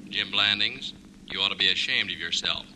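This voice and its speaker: Sharp, needling imp of conscience. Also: male voice, lowish voice, moderate pace. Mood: taunting, scolding. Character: scolding, taunting inner conscience, a little imp. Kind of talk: 1950s radio comedy acting. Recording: faintly noisy vintage 1950s radio recording.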